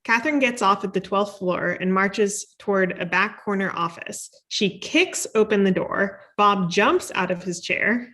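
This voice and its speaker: A woman's voice: mid-range voice, measured pace, hardly any pauses, a bit bright. A woman reading stage directions as scripted narration for a comedy table read.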